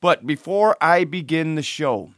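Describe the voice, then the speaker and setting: A man with a somewhat high voice talks straight into the mic, hoarse and sick with a cold. He rambles and rants as a podcast host, full of fillers and restarts, in a dry, close sound. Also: animated, unhurried, fairly bright tone, no room sound. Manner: ranting, chatty, with fillers and restarts. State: hoarse, losing his voice from a cold. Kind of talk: casual podcast monologue.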